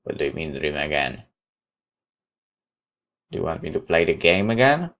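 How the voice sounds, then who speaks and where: A man hosting a gaming video, reading a visual novel aloud in a halting, dramatic way with asides. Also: a bass voice, big pitch swings, a bit bright.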